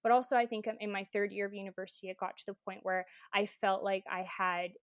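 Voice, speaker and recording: A woman's voice: mid-range voice, fairly even intonation, fast talker, dry recording, studio quality. A woman in her twenties, born in Croatia and raised in Canada, talking earnestly as a podcast guest with many fillers. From born in Croatia, grew up in Canada. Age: 20s. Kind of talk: casual interview conversation.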